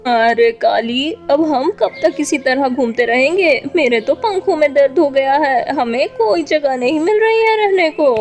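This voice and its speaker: A woman voicing a grumbling, complaining male crow who bullies others in an animated children's story. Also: high voice, measured pace, hardly any pauses. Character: grumbling, henpecked male crow, an intruding bully.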